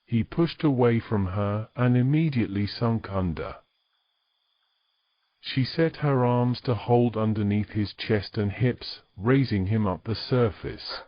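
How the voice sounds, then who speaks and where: Male narrator, fairly deep, reading story prose in a dry, slightly dull recording. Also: unhurried, no room sound.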